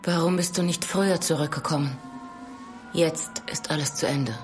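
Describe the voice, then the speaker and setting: A mournful young village woman in a gothic horror audio drama, played by an actress. Her voice is low for a woman, loving yet haunted and resigned to doom. Faint music sits behind her. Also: normal speed, natural voice. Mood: mournful, pleading.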